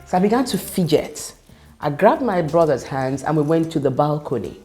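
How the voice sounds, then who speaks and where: Low, mellow-voiced Yoruba-speaking woman. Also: animated, faint music behind. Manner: reflective, earnest, with pauses and restarts. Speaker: gospel singer. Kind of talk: personal testimony monologue.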